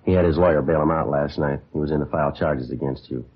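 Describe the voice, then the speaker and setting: A businesslike police detective from old radio crime drama. He is clipped and deadpan, with a very low, husky voice and quick pace. The recording is an old radio one. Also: highs cut off. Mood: flat, businesslike. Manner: deadpan, clipped, matter-of-fact. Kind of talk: 1950s radio acting, clipped narration and dialogue.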